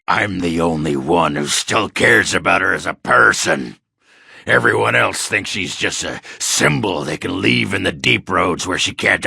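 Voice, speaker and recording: A gruff dwarf warrior from a fantasy video game. His voice is extremely low and full of gravelly fry, with a forceful, hard edge. He talks bluntly and crudely, like a sardonic drinker with a grudge. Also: dry recording, clean studio sound, exaggerated voice. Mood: earnest, insistent. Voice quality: very deep, gravelly, rough. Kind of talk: video game character dialogue.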